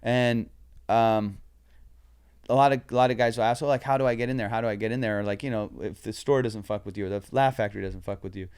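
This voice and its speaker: A man, a comedian guest on a podcast, chatting casually with lots of swearing and animated storytelling. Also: on the low side, fast, clear, resonant tone. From Southern California.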